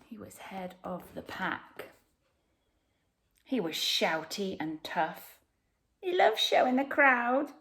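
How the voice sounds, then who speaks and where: A woman narrating a children's picture book expressively, with dramatic pauses and hushed emphasis. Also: mid-range voice, sing-song, gravelly. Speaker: storyteller.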